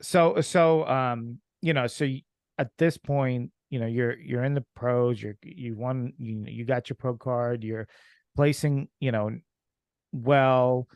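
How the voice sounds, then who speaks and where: A man from Ukraine hosting an interview podcast, asking questions in a halting way with many restarts. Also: medium pitch, fairly even intonation, darkish tone, clear, resonant tone, no echo. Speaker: podcast host. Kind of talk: casual interview.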